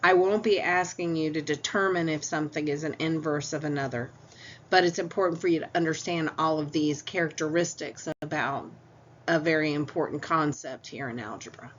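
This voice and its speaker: Female math instructor, lower register, unhurried, stuffy-nosed; dull lo-fi lecture audio. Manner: patient, explains step by step. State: stuffy nose, clearing throat. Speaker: math teacher. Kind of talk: recorded lecture. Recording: dull lo-fi audio with faint hum.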